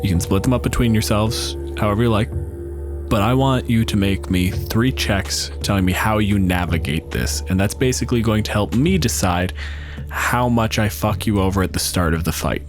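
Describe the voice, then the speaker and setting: Male game master, lowish voice, casual and conversational tabletop narration, swears freely, soft music bed. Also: normal speed.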